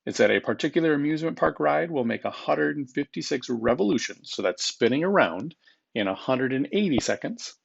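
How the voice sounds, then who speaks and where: A man's voice: on the high side, lively intonation, brisk, creaky, husky, highs cut off. A man speaking as a teacher, reading test questions aloud to students in an informal, instructive manner and repeating them.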